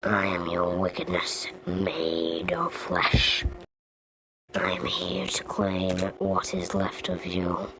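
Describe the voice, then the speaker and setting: A woman voicing a cruel demonic monster from a horror game, whispery, breathy and ominous. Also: fairly low pitch, sing-song, noisy background, heavily acted. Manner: ominous, deliberate. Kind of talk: fan recreation of horror game voice lines.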